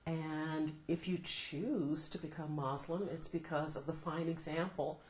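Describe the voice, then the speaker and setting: A middle-aged American woman, a law professor, answering interview questions in a thoughtful, measured way. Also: deep voice, fairly even intonation. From United States. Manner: thoughtful, measured, laughs often. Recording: roomy echo, faint noise.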